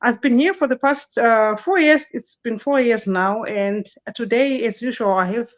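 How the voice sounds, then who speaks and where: Woman host, higher voice, dry close sound, casual restart-filled live interview talk. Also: normal speed, no echo. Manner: chatty, frequent restarts and fillers. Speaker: host, digital business consultant.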